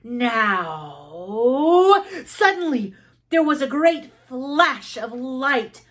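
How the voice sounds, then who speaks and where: Slow-paced woman reading a storybook. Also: high-pitched, animated, a bit bright. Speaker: storybook reader. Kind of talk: expressive children's read-aloud narration.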